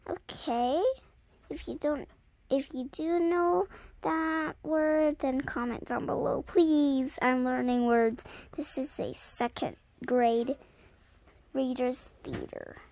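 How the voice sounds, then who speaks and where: A young girl with a high voice reads a story aloud for her viewers. She moves very slowly and haltingly, sounding out and repeating words, and drops in casual asides. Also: steady tone, a little mellow, dry recording. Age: child around seven or eight.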